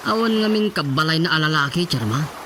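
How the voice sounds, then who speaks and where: A man's voice: a little high, animated, brisk, bright, forceful tone, a little hiss or hum. An actor playing a chatty, teasing village councilman in an Ilocano radio comedy, with a Filipino accent and a gossipy way of talking. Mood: confiding.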